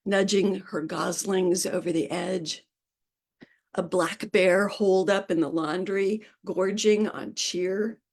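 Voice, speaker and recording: A woman reads her poems aloud in a slow, unhurried way, her pitch sitting in a middle range. Between pieces she talks in a loose, halting way, with small pauses and restarts. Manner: slow, measured poetry delivery with hesitant asides. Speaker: poet reading her work. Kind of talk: live poetry reading.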